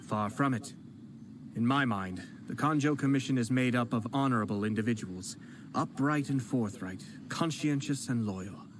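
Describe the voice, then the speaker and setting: An actor playing a composed male noble commissioner in a game, speaking calmly and politely with a cutting edge. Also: medium pitch, normal speed, background noise, natural voice. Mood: disdainful. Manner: calm, polite, coolly cutting. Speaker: game character. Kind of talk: game cutscene voice acting.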